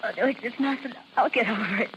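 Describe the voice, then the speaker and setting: A woman's voice: a little high, quick pace, fairly bright tone, close to an everyday voice. Woman playing a lovestruck carnival girl, tender, earnest, slangy, dull 1930s radio sound with light hiss. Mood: tearful. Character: lovestruck young carnival snake charmer girl, guilty and tender. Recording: muffled, hissy 1930s radio recording.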